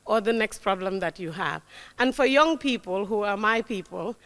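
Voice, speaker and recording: A woman's voice: mid-range voice, lively intonation, normal speed. A woman in her forties giving a motivational talk to young people, emphatic, often checking in with "right?". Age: 40s. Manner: warm, emphatic, repeats key words, frequent "right?". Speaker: guest speaker. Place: lecture hall. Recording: long hall reverb.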